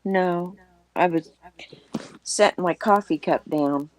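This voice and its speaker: A soft-spoken woman joining a live stream over an online call, gently teasing. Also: on the low side, slow. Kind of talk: casual livestream chat. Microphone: remote online call.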